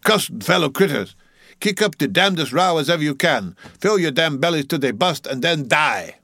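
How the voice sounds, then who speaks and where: A man's voice: animated, moderate pace, bright, forceful tone, no echo, clean studio sound, heavily acted. A man voicing a sulky ship's cook of about ninety, muttering testily in dialect, in an audiobook story. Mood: cursing. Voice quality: shrill when raised. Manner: muttering, testy, dialect speech.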